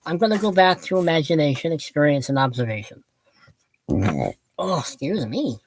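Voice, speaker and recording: A woman's voice: deep voice, expressive, normal speed, no echo, poor audio. A woman in her late fifties giving a casual, opinionated book talk to viewers, drifting off on tangents in a self-deprecating way. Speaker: book vlogger.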